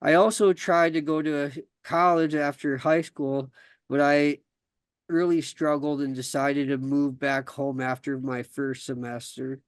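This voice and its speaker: A man's voice: mid-range voice, clean tone, dry recording. A young man from South Dakota hosting a podcast, talking casually with many fillers and restarts, then reading his own story aloud. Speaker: podcast host.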